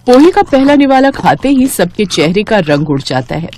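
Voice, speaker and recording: A woman's voice: medium pitch, sing-song, moderate pace, a little mellow, slight background noise. A woman narrating a scripted cartoon story.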